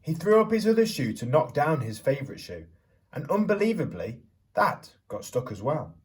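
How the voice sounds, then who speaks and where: A man reading a picture book aloud to young children as a teacher would, theatrically, pausing to put questions to them. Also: on the high side, big pitch swings, a little mellow, distant mic. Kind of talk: expressive children's storybook reading with questions to listeners.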